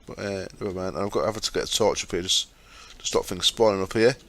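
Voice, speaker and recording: A man with a fairly low voice and a sore, croaky throat, talking through his game. He chats casually as he explains each step, with plenty of ums and asides. He speaks into a desktop mic as he records his screen. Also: fast talker, fairly bright tone. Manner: chatty, explains step by step with fillers. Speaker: gaming channel host.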